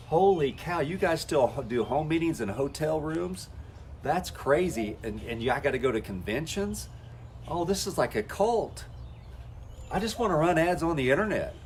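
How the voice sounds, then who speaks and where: A man's voice: on the high side, a little hiss or hum. A man coaching network marketers in a folksy, talk-to-camera style, acting out the conversations he has with people. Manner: folksy, acts out quoted conversations.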